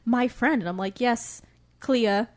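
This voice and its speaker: A woman's voice: on the high side, takes it slowly. A woman co-hosting a podcast, chatting casually in a giggly, playfully sarcastic way. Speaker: podcast co-host. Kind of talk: casual chat and banter.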